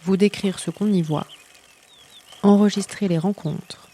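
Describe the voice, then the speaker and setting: A woman reads a scripted project presentation in a warm, mellow voice. Her delivery is clear, with small hesitations between phrases. She speaks as co-host of a bicycle radio project. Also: mid-range voice, steady tone, unhurried.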